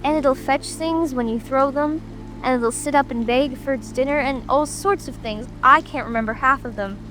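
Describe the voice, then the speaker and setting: A woman's voice: sits high, normal speed, fairly bright tone. A woman playing a polite, curious little girl who speaks earnestly and apologetically in a dramatic audiobook. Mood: eager. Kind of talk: dramatic audiobook character reading. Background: music, rain ambience.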